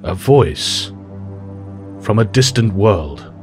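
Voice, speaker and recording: A calm formal telepath professor. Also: male voice, lowish voice, loud music behind the voice. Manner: formal, measured.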